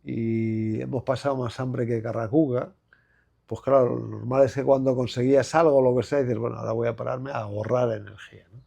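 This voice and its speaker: Older madrid guy, gravelly low voice, thinks out loud and rambles, loads of fillers. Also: fairly even intonation, brisk. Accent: Madrid Spanish. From Spain. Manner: reflective, rambling with many fillers and restarts. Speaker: guest, former basketball player. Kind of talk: relaxed conversational interview.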